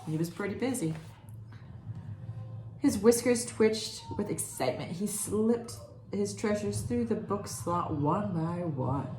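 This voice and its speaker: A woman reading a children's picture book aloud expressively, pausing to comment and put questions to her listeners. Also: mid-range voice, animated, warm tone. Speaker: read-aloud storyteller. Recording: roomy echo, faint background noise.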